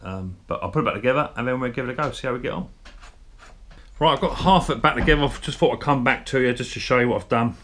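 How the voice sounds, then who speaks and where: A man's voice: mid-range voice, fast, fairly bright tone. A man, casually talking through a chainsaw brake repair in his workshop, chatty and a bit self-deprecating. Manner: chatty, self-deprecating, thinks aloud while working. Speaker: small engine repair video host. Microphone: head-mounted camera. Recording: clear background noise and tool clicks.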